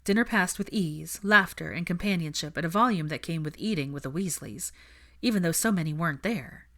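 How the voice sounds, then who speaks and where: A woman's voice: lowish voice, brisk, fairly bright tone. A woman reading a story aloud as an audiobook narrator, in scripted third-person narration. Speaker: audiobook narrator of fan fiction.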